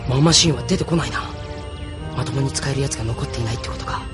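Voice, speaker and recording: A man's voice: mid-range voice, rapid-fire, over music, close to an everyday voice. A man playing a headstrong young mech pilot in an anime audio drama. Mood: determined. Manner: defiant, headstrong.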